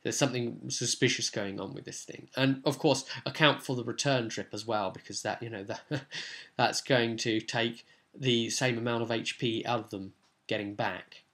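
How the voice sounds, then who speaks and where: A man's voice: mid-range voice, steady tone, moderate pace, a bit bright. A man speaking as a game master, talking through a tabletop role-playing session in a rambling, conversational way with bursts of emphasis. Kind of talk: informal vlog recap and advice on a tabletop role-playing session.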